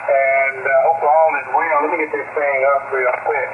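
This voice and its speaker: A man's voice: mid-range voice, little pitch movement, moderate pace. A man chatting casually over amateur radio as an operator, with a folksy, rambling, hesitant manner full of repeated words. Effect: amateur radio transmission. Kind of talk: casual two-way radio chat. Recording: narrow-band shortwave radio audio, loud static.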